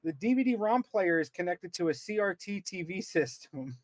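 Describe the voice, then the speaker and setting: Higher-pitched man reading aloud haltingly. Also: lively intonation, no room sound. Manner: halting reader, stumbles and repeats words. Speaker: podcast co-host. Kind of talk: reading aloud with casual commentary.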